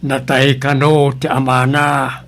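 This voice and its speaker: A man's voice: medium pitch, monotone, slow pace, a bit bright. An actor playing a down-to-earth, scolding husband and father in a radio drama, with an Ilocano accent. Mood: chiding.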